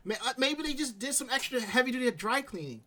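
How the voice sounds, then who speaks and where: A man's voice: extremely high pitch, fast. A man with a New York accent hosting a casual live stream chat, telling stories and acting out his own reactions. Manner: animated, quotes himself in asides. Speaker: stream host.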